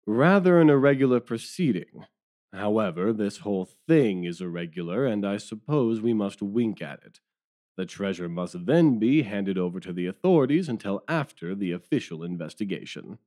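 An actor playing a hearty, blustering police detective, bossy but agreeable, in an audio drama. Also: male voice, on the low side, animated, moderate pace, warm tone, natural voice. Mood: businesslike.

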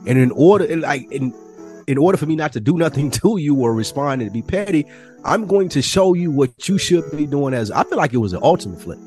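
A man co-hosting a casual podcast, talking in an animated way and climbing higher when he gets worked up. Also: a little fast, soft background music. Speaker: podcast co-host.

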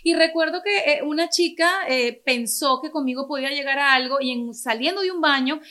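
A Venezuelan woman hosting a relaxed chat, with a high, bright and forceful voice. She talks in a lively, expressive way and laughs easily. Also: a little fast. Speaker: host. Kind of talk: casual chat.

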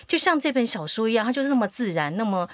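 A woman hosting a podcast chat with a high, bouncing voice that rises and falls a lot. She asks her questions expressively, and the sound is dry and close, from a small studio. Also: big pitch swings, fast, dry recording. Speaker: podcast host. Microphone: studio microphone.

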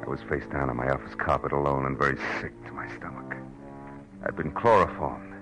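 World-weary American detective: very low, deadpan, sardonic, old radio drama sound with music under. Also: male voice, a little fast, poor audio. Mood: groggy, grim. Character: tough, wry, world-weary male private eye. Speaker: lead actor and narrator. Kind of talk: hard-boiled 1940s radio detective acting.

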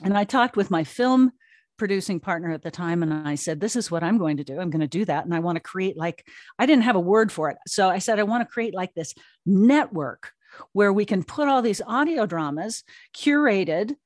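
A woman in her sixties talks as a guest in a relaxed interview. Her delivery is chatty and animated, with an ordinary mid-range pitch. The sound is dry, with very little room in it. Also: moderate pace, dry recording. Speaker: guest, audio drama producer and creative officer. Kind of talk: conversational interview.

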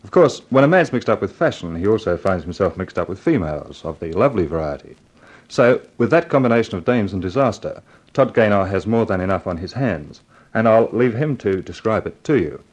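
Low-pitched, suave mystery writer hosting. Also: male voice, animated, brisk, a little mellow, muffled recording. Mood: wry, genial. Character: suave male mystery novelist introducing his own story. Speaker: host. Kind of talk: 1950s radio drama introduction, scripted.

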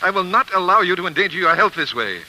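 A man's voice: high voice, lively intonation, fast, sharp tone, full character voice, poor audio. An actor playing a stern, worried, protective father in a 1940s radio drama, with a firm, admonishing manner. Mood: stern, exasperated. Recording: faintly hissy 1940s radio recording.

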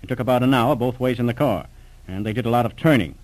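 A man's voice: mid-range voice, fast, darkish tone, lightly acted, low-quality recording. A man playing an educated Boston doctor, formal and a little hesitant, in 1940s radio drama style. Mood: recounting, matter-of-fact. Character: educated Boston doctor, indignant but obliging. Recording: old radio serial recording.

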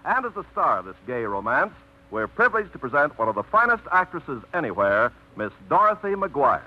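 A male announcer giving a smooth, ceremonious introduction to a radio drama. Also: a little high, very animated, a little fast. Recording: dull old radio broadcast with music.

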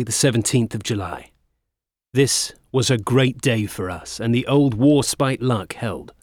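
A man acts a proud naval captain giving his wartime diary in a dramatised reading. His delivery is brisk and clipped, with terse, matter-of-fact phrasing, in a mid-pitched voice recorded dry and close. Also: no echo, natural voice. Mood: brisk, satisfied.

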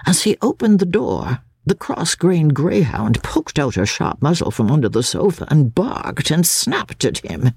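A woman voicing an elderly gentleman lawyer who narrates a classic novel in a formal, deliberate, self-assured manner. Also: low-pitched, very animated, gravelly, husky, no room sound, studio quality, exaggerated voice. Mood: measured, piqued. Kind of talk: first-person literary narration.

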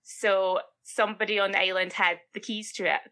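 A chatty Scottish woman with a bright, forward voice tells a story in a relaxed, conversational way. She keeps checking in with the listener as she talks. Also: medium pitch, moderate pace. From Scotland. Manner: chatty, tags questions with "right?". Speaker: podcast co-host. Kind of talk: casual storytelling.

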